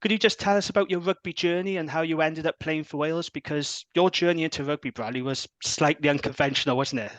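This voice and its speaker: A man's voice: a little high, quick pace, few pauses, a bit bright, dry recording. Upbeat podcast host man. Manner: chatty and enthusiastic.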